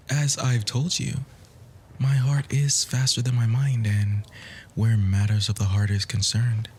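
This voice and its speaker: A man playing a charming, composed bookish romantic in a flirtatious ASMR roleplay, speaking softly and breathily in courtly, flowery phrases that often drop to a whisper. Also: mid-range voice, slight background noise, natural voice.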